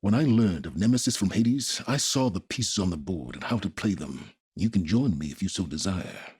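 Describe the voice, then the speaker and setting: An actor playing a cold, calculating, aloof scholar in a video game, speaking formally with measured, condescending, sardonic phrasing. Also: male voice, sits low, expressive, a little mellow, slightly rough, exaggerated voice. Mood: grave, measured. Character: cold, calculating, aloof male scholar, middle-aged or older. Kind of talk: scripted video game voice acting.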